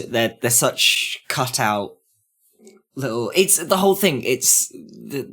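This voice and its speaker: A man podcast host giving a casual, mocking critique in an animated way. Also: fairly low pitch.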